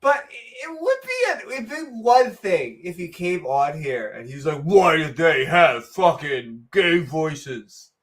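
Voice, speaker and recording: A woman co-hosting a comedic chat podcast with an unhurried, drawn-out delivery. She is sarcastic and animated, veering into quick asides and mock outrage. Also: low voice. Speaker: podcast co-host.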